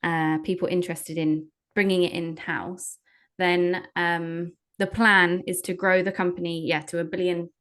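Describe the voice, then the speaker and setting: A woman's voice: lowish voice, flat delivery, a little slow, fairly bright tone. A woman in her thirties, an entrepreneur answering interview questions with many fillers and restarts. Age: under 40. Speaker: entrepreneur guest.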